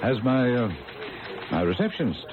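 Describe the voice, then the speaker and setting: An actor playing an awkward, fumbling boss who is eager to please, stammering and halting in a radio drama. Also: male voice, mid-range voice, big pitch swings, close to an everyday voice. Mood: encouraging. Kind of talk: vintage-style radio drama acting. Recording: tinny band-limited broadcast, crowd-like noise behind.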